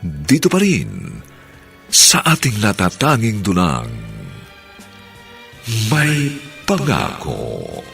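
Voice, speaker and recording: A male radio drama host with a lowish, gravelly voice and sweeping, theatrical intonation. He announces formally and deliberately over music. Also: huge pitch swings, fairly bright tone.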